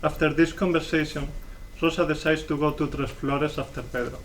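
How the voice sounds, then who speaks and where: Higher-voiced young man narrating, off-mic. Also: fairly even intonation, a little fast. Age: young adult. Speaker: student narrator. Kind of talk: scripted plot narration. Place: live stage performance room. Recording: distant mic, faint room noise.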